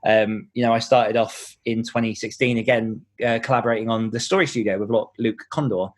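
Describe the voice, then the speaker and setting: A man's voice: fairly low pitch, fairly even intonation, brisk. A man giving earnest, explanatory answers in a conversational podcast interview, thinking aloud as he goes. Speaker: podcast host and author being interviewed.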